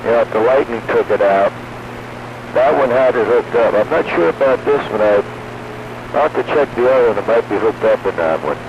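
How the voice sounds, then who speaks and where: A male radio hobbyist in casual technical conversation over a two-way radio. His mid-pitched voice comes through dull and lo-fi, with clear noise around it. He explains patiently but insistently, often slipping into anecdote. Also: expressive, a little fast. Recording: noisy band-limited two-way radio audio.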